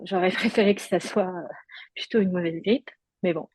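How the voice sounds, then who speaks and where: Husky woman speaking hesitantly. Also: on the low side, normal speed, darkish tone, no echo. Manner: hesitant, frequent fillers and restarts. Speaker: guest. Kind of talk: personal testimony in conversation.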